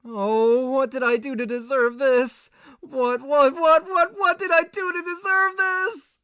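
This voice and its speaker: A man's voice: extremely high pitch, fairly even intonation, measured pace, pushed and bright, no echo, studio quality, exaggerated voice. A man playing an earnest, put-upon young tenant in an amateur audio drama, with a hesitant manner. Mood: exasperated wailing. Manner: hesitant, stammering when flustered.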